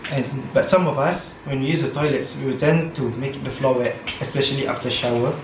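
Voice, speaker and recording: A man's voice: mid-range voice, brisk, background noise. Young man reading earnestly, echoey room. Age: young adult. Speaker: student. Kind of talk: scripted reflection read aloud. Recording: roomy echo with background music.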